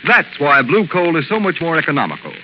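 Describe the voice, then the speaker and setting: A man's voice: a little high, big pitch swings, brisk. A man announcing a scripted 1930s radio advertisement with formal, emphatic salesmanship. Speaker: radio announcer and sponsor spokesman. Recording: noisy, muffled 1930s radio broadcast.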